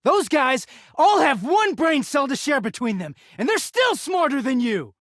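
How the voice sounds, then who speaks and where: A man's voice: very high voice, sing-song, unhurried, no echo, clean studio sound, exaggerated voice. A man voicing a bitter, exasperated, sardonic, foul-mouthed young male living-laundry character in a video game. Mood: exasperated, angry. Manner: sardonic, foul-mouthed, swinging from muttering to shouting.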